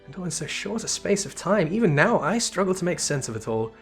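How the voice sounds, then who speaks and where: A man voicing a gracious, remorseful young female sultana from a game, in formal, measured, courtly phrasing. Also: high voice, big pitch swings, voice pushed up. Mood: earnest, reflective. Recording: music behind the voice.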